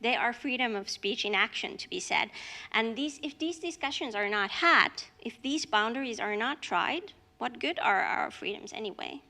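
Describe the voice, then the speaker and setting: A woman's voice: fairly high pitch, expressive, moderate pace, sharp tone. A woman ending a keynote speech in an earnest tone and asking rhetorical questions. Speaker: keynote speaker. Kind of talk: formal closing of a speech.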